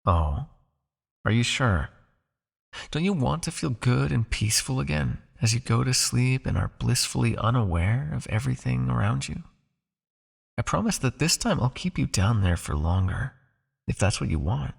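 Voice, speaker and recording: A possessive male vampire, played by a man, coaxes and commands his captive in intimate hypnosis roleplay. His soft, teasing voice has a clear gravelly fry to it. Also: medium pitch, expressive, moderate pace, heavily acted. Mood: hypnotic, coaxing.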